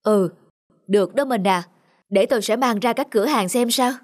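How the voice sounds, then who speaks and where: A poor cobbler father in a cartoon drama, kind and worn out. He speaks in a gentle, reassuring way, with music playing clearly behind him. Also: high-pitched, moderate pace. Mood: resigned.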